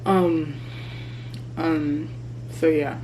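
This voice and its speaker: A woman in her thirties talking candidly to her vlog camera while she eats, chatty and relaxed. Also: low voice, drawn-out words, slight background noise, poor audio. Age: 30s. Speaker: vlogger. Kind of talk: casual mukbang vlog chat. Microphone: camera mic.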